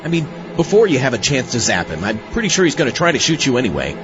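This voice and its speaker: A man's voice: mid-range voice, huge pitch swings, quick pace, dull audio, music in the background. A man playing a wry, chatty zombie astronaut who hosts a comedic audio drama. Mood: worried. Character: wry, cautious zombie astronaut sidekick and show host.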